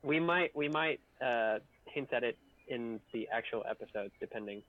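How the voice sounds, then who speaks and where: A man's voice: medium pitch, moderate pace, narrow, tinny audio. A man chatting casually and jokily as a co-host on a group livestream. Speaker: audio editor and co-host on a stream.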